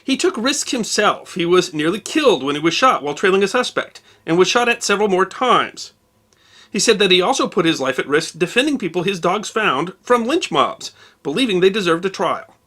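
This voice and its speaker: A man's voice: high-pitched, big pitch swings, a little fast, bright, forceful tone. A man hosting a history channel, giving scripted narration as he tells the story with wry emphasis.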